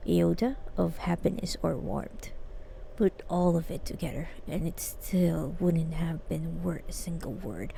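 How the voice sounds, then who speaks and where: Slow, creaky-voiced woman streaming a game. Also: lowish voice, mellow, a little hiss or hum, low-quality recording. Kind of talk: casual live gameplay commentary, reading dialogue aloud.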